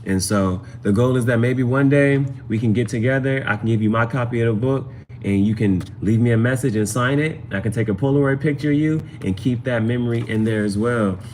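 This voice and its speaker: A man's voice: medium pitch, brisk, darkish tone, slight background noise. A man hosting a casual tarot livestream, chatting informally to viewers and rambling, often repeating phrases for emphasis. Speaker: livestream host and amateur tarot reader.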